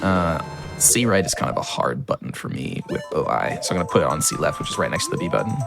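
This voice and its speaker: A man, a speedrunner, chatting casually while playing, his voice a little raspy from being ill, talking with lots of fillers and restarts. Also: low voice, lively intonation, quick pace. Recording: music playing behind.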